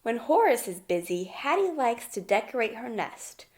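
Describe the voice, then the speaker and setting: A woman's voice: fairly high pitch, big pitch swings, normal speed, darkish tone. A woman teacher reading a children's picture book aloud and talking it through simply, often putting questions to the young listener. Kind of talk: children's storybook read-aloud and lesson.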